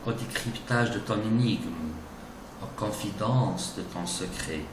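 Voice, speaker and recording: A man giving a dramatic, declamatory recitation of romantic poetry in deliberate phrases. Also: sits low. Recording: distant, echoey, noisy recording.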